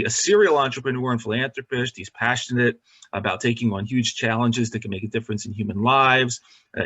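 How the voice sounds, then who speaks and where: A man's voice: mid-range voice, a bit bright, dull audio. Man hosting an interview show. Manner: reads guest introductions with frequent "uh" fillers. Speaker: podcast host.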